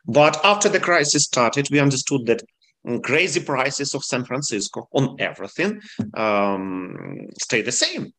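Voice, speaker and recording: Ukrainian-accented startup founder, earnest. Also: male voice, mid-range voice, sing-song, fairly bright tone. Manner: earnest, halting non-native phrasing. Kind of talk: interview answers.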